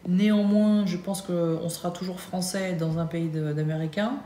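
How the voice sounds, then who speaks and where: Une femme française, la trentaine, qui parle de son travail en vivant aux États-Unis, avec beaucoup d'hésitations et de faux départs. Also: female voice, on the low side, fairly even intonation, normal speed, warm tone. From France. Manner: hesitant, many fillers and restarts. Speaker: Nike employee in the US. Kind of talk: casual vlog chat. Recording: echoey room with faint hum.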